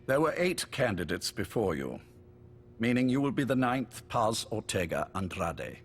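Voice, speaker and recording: An actor playing a courteous but menacing older spymaster in a video game, urbane, measured and wryly polite. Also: male voice, on the low side, big pitch swings, a little hiss or hum. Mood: calm, weary. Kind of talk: dramatic game voice acting.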